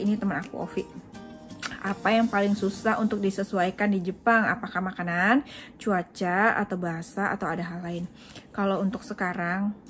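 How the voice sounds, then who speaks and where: A young woman from Indonesia, a vlogger chatting casually at home as she answers viewer questions, with frequent fillers. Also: mid-range voice. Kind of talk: casual chat. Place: home in Japan.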